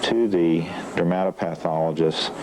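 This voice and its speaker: A man's voice: deep voice, normal speed, a crowd in the background. A man, a physician, giving explanatory remarks in an interview. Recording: clearly noisy background.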